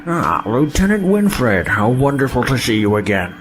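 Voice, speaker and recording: A man's voice: medium pitch, big pitch swings, normal speed, background noise, lightly acted. Glib male sci-fi trader played by an actor. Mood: falsely cordial. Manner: glib, falsely courteous. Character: slippery mercenary male trader, breezy and self-serving. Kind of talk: audio drama acting.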